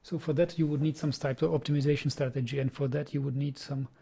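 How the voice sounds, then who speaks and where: Halting Czech-accented man with gravelly voice. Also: mid-range voice, fairly even intonation, mellow. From Czech Republic. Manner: halting, repeats words while thinking aloud. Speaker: programming streamer. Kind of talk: casual live-stream chat.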